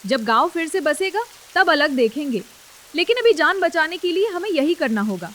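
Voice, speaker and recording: A woman's voice: high-pitched, big pitch swings, moderate pace, a bit bright. A woman voicing a determined village daughter-in-law who rallies others with urgency.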